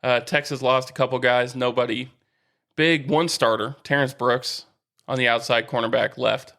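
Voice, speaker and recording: A man's voice: mid-range voice, steady tone, moderate pace, vocal fry, a bit bright. A man co-hosting a sports podcast in casual conversation, slangy, with a dry, wry manner.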